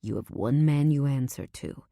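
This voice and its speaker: A woman voicing a huge, domineering husband who speaks low, quiet and measured. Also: vocal fry, dry recording, clean studio sound. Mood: calm, possessive. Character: big domineering husband, calm and possessive.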